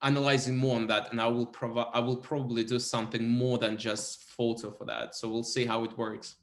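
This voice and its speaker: A man from Kazakhstan, a Russian and Kazakh speaker, talking English in a halting, hesitant way as a guest in a casual interview. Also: medium pitch, little pitch movement. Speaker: interview guest, film photographer. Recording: roomy echo.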